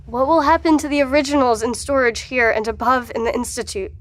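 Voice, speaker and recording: A woman voicing a guarded archivist in an audio drama, quick to question and easily startled. Her voice sits high and bright above an otherwise plain delivery. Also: steady tone, lightly acted. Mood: wary.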